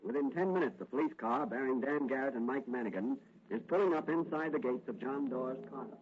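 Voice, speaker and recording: A man's voice: mid-range voice, fast talker. A man narrating a 1940s radio serial in a formal, suspenseful scripted style. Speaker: narrator, announcer. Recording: muffled 1940s radio recording, faint hiss.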